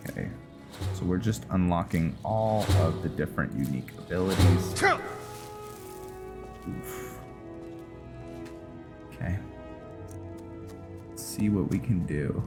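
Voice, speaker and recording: Deep-voiced guy doing lets-play commentary, jokey, game music behind. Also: huge pitch swings, slow, pauses often. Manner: joking, thinking aloud. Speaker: gaming video host. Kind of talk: casual let's-play commentary.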